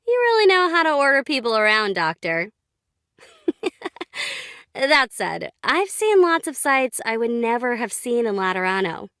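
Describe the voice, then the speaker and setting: Game casting: breezy young woman operative, fairly high, animated, playful delivery. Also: no room sound, clean studio sound. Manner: casual, playful. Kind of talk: scripted game voice lines.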